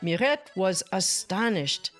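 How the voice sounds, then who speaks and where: A woman narrating a children's story aloud as host, in a measured, deliberate, expressive read-aloud style. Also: medium pitch. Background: music.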